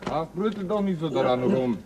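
A man's voice: on the high side, lively intonation, a little fast, over a noisy crowd, close to an everyday voice. An actor playing a tired, exasperated crewman caring for a sick shipmate in a radio play. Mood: weary. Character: weary male crewman Mill, nursing a sick mate.

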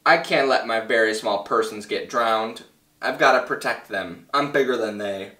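A man voicing a big, gentle, protective elephant who talks earnestly in a children's storybook. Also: on the low side, normal speed, echoey room. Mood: worried.

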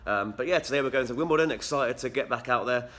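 Fast-talking young man vlogging on a phone. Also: mid-range voice, bright, forceful tone. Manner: chatty, rambling, quick asides. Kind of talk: casual vlog to camera. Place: outdoors at a football ground and at home. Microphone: phone at arm's length.

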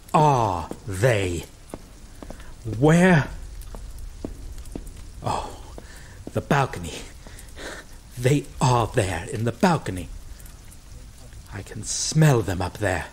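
A woman playing a grieving, possessive vampire in a dramatic ASMR roleplay, speaking haltingly and emotionally with long pauses, vengeful and pleading. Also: a bass voice, darkish tone, husky, heavily acted. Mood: vengeful, hunting. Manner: halting, slow, emotional delivery with drawn-out pauses.

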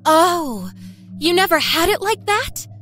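A woman's voice: very high-pitched, very animated, slow, sharp tone, voice pushed up, full character voice. A woman voicing a sly young schoolgirl, a manipulative liar with an exaggerated, sugary coaxing manner, in an audio horror story. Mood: gushing, sweet.

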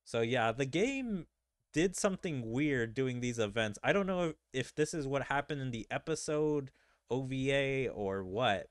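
A man's voice: medium pitch, clear, resonant tone, no room sound, clean studio sound. A man gaming streamer giving casual, rambling playthrough commentary, thinking aloud in a puzzled way.

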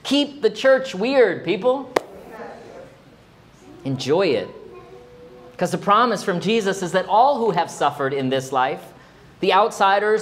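A man in his forties, a pastor, preaching a sermon in a small church, expressive and at times emphatic. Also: a little hiss or hum. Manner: expressive, rising to emphatic high exclamations. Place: small church sanctuary, indoors.

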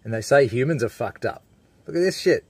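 A man's voice: medium pitch, expressive, a little fast, a little mellow, husky. A man in his forties with an Australian accent, talking casually and unscripted as a laid-back, colloquial vlogger. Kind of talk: casual, unscripted vlog talk.

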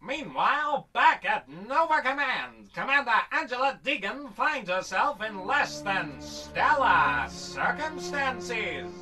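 A man's voice: very high voice, big pitch swings, takes it slowly, few pauses, pushed and bright, faint music behind, heavily acted. A man playing a melodramatic announcer in the style of an old-time radio serial.

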